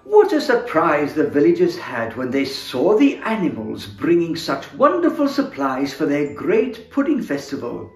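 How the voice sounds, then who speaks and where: A man reading a children's picture book aloud in an expressive, theatrical manner, as a storyteller playing Santa. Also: on the high side, a little slow, few pauses. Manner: animated, theatrical, with chuckles. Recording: roomy echo, music behind. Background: music.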